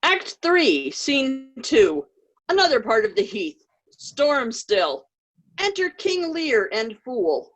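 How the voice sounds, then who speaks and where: Slow-paced woman reading stage directions. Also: high-pitched, big pitch swings, fairly bright tone, rough recording.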